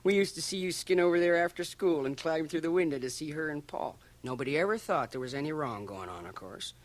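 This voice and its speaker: A man's voice: on the high side, expressive, fast, lightly acted. A man playing a folksy, gossipy small-town barber with a Canadian accent in a radio drama, chuckling and dropping into confidential asides. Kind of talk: radio drama acting, rambling monologue. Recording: 1980 radio drama, home cassette transfer.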